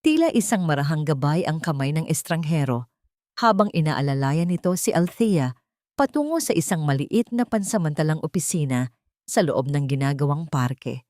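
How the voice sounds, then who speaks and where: A woman's voice: low voice, no echo, clean studio sound. A woman with a Filipino accent narrating a scripted, dramatic audio story as a storyteller.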